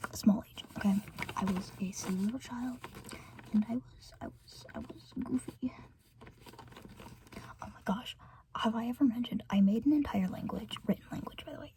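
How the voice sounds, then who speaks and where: An American woman whispering through a rambling, filler-filled ASMR chat, trailing off and giggling between thoughts. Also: on the high side. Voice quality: soft unvoiced whisper. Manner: meandering, filler-heavy, giggly chatter. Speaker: ASMR creator.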